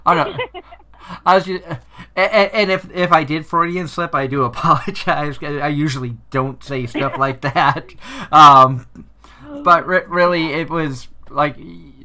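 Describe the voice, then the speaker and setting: A man with a Boston accent hosting a casual anime talk show. His voice is bright and forceful, and he rambles along with frequent restarts. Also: a little high, steady tone, a little slow. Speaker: podcast host. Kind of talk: casual chat.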